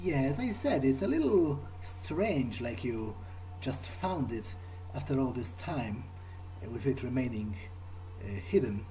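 A man's voice: medium pitch, sing-song, unhurried, dark tone, a little hiss or hum, low-quality recording. A man improvising in character during a video game, conversational and questioning, with hesitant phrasing. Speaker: let's player roleplaying in character.

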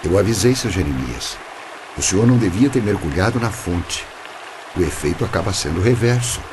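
Low-voiced, solemn Brazilian guide. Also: male voice, expressive. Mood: solemn warning. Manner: measured, didactic, formal. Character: calm, mysterious male guide who gives solemn warnings. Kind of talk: radio drama acting. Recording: noisy background ambience.

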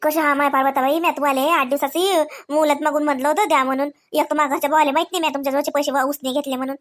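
A woman's voice: high-pitched, fairly even intonation, racing, close to an everyday voice. A woman voicing an anxious village debtor in a comedy cartoon, pleading plaintively and repetitively with a Vidarbha Marathi accent. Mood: pleading, worried. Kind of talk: comedy cartoon dialogue in rural dialect.

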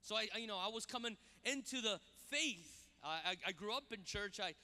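Man, animated guest preacher, restarts his sentences, handheld mic, long hall reverb. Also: high-pitched, a little fast. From Brazil. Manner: animated, repeats words when starting sentences. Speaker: guest pastor. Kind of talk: sermon with personal stories. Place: large church stage with audience.

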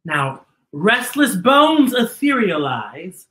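A middle-aged man reading a picture book aloud to children with theatrical, exaggerated, drawn-out delivery. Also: high-pitched, sing-song, sharp tone, roomy sound. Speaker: children's storytime host.

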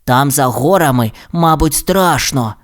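Lady doing a timid little t-rex boy in a storybook, kinda low voice, earnest, careful, reluctant. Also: lively intonation, measured pace. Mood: timid, worried. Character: cautious, timid young boy tyrannosaurus.

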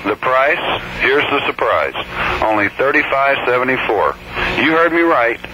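A man delivering a 1970s radio commercial as a car dealership pitchman, on an old radio aircheck. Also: lively intonation, a little slow, background noise.

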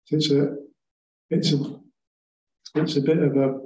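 A man on a council committee explaining planning rules at length, halting and restarting as he goes. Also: on the high side, level tone, a little mellow. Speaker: committee member. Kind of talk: council meeting discussion. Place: large meeting room. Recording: echoey room with faint ambience.

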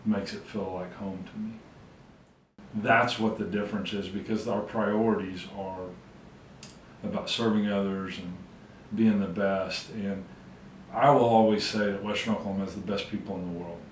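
Deep flat-voiced man in an echoey office. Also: moderate pace, recorded from a distance, slight background noise. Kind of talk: interview. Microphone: far camera.

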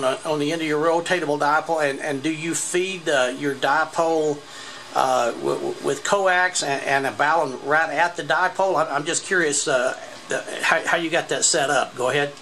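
A man with gravelly fry in a fairly high voice chats casually over ham radio while he films. He thinks aloud in halting phrases. A handheld camera catches him amid noticeable noise. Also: bright, forceful tone. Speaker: amateur radio operator. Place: indoor home radio room. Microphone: handheld camera mic. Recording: noisy handheld camera recording.

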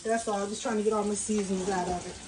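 A woman's voice: medium pitch, steady tone, racing. A woman talking viewers through a recipe in her home kitchen, chatty and calling them y'all, recorded by a camera near the stove. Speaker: home cook vlogger. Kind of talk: casual cooking demonstration. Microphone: camera on a counter nearby. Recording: audible kitchen noise, food sizzling.

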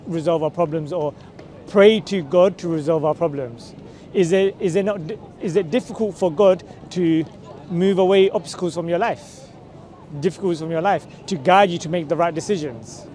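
A man's voice: fairly high pitch, darkish tone. A man, talking outdoors in a busy city square and pressing his questions with persuasive, repeated checks like "right?". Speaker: street preacher. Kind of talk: street conversation. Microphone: camera mic from a few meters.

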